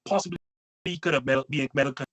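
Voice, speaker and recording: A man's voice: mid-range voice, fast talker, fairly bright tone. A man with a Barbadian accent hosting a casual sports panel and handing the floor to his guests. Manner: upbeat, hands the floor to guests. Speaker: host.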